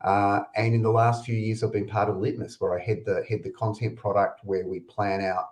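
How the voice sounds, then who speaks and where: A man with an Australian accent who heads a content team, giving a relaxed, informal webinar introduction over a video call. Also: lowish voice, moderate pace. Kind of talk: webinar presentation.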